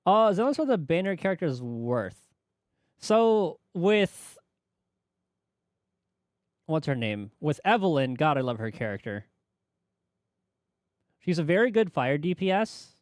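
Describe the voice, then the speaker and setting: Animated male streamer, close dry mic. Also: fairly high pitch, measured pace, long pauses, dry recording. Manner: thinking aloud, tentative, rambling. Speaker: game streamer. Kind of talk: casual livestream chat about game mechanics.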